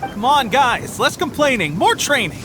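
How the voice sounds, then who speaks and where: An actor playing an eager, upbeat young resistance soldier in a video game, earnest and rallying. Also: male voice, extremely high pitch, very animated, moderate pace. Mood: rallying, proud. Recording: game music and rain behind. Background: music, rain.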